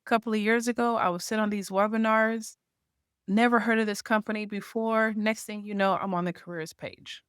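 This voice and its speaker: A woman's voice: medium pitch, fairly even intonation, moderate pace, fairly bright tone, clean tone, no echo, clean studio sound. Articulate woman explaining marketing strategy. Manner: explanatory, tags points with "right?". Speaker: podcast guest, marketing association founder. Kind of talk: conversational interview.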